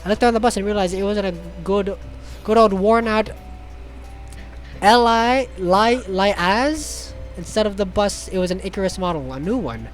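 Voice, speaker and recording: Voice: medium pitch, measured pace. An irreverent, swearing speaker reading a visual novel aloud for comedy, stumbling over words and throwing in joking asides. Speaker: reader of the game text for the group. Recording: loud music under the voice.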